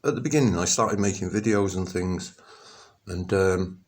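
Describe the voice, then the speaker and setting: A middle-aged man with a lowish voice talks to camera, rambling, stopping and restarting with plenty of ums. Now and then he snaps into forceful, emphatic outbursts before trailing off again. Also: moderate pace. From England. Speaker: vlogger. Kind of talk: rambling personal monologue.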